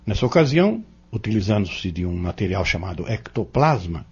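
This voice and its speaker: A very husky, lowish Brazilian male voice from an actor plays a patient Spiritist instructor in an audio drama. He explains doctrine earnestly, with measured phrases and lively, emphatic swings of pitch. The sound is somewhat dull and lo-fi. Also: heavily acted. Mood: earnest, instructive. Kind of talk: didactic audio-novel dialogue.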